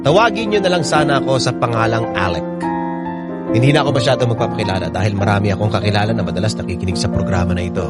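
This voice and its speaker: A man's voice: lowish voice, rapid-fire, loud music behind the voice, close to an everyday voice. A man playing a cocky young campus heartthrob. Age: young adult. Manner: cocky, teasing, playful. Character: smug handsome young college heartthrob. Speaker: narrator and lead character. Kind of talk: radio drama acting.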